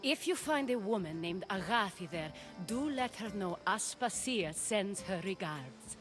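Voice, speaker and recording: An actress playing a poised, shrewd society hostess in a video game, with a measured, knowing and polished manner. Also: female voice, medium pitch, expressive, over music, lightly acted. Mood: discreet, knowing. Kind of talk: video game dialogue acting.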